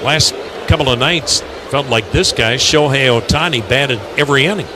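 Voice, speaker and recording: A man's voice: mid-range voice, moderate pace, background noise. A man announcing live baseball play-by-play on the radio.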